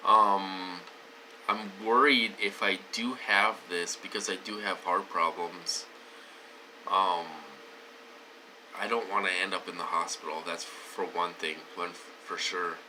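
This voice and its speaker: A man's voice: sits low, steady tone, measured pace, bright, forceful tone, a little hiss or hum, low-quality recording. A man talking casually to his viewers, wheezy and short of breath, rambling with many fillers and restarts. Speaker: vlogger. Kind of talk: casual talk to camera.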